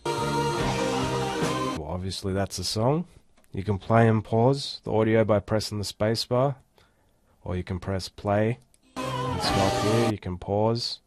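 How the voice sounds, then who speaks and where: A man giving a casual, step-by-step software walkthrough as an instructor. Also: fairly low pitch, animated, slow, loud music behind the voice. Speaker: tutorial instructor. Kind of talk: screen-recorded software tutorial, casual walkthrough.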